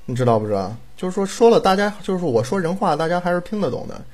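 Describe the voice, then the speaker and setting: A man's voice: high voice, very animated, very fast, darkish tone. A man chatting casually as a drama director, rambling and repeating himself for emphasis. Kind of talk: casual chat.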